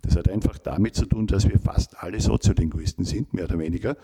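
A middle-aged man, a linguistics professor giving measured, academic interview answers and often rephrasing himself. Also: sits low, dark tone, husky.

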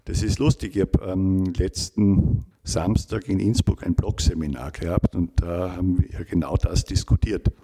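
A middle-aged professor speaks in a very low, husky voice, measured and academic, often restarting a phrase to find the right word. His tone is dark and mellow. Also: fairly even intonation. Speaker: linguistics professor. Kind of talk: interview answers.

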